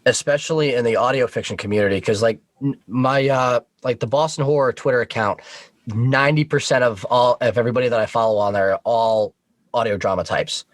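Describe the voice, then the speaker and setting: A man chatting casually as a podcast guest, explaining things in a halting, discursive way with restarts and asides. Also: medium pitch, no room sound. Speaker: podcast guest, audio drama creator. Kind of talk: casual interview chat.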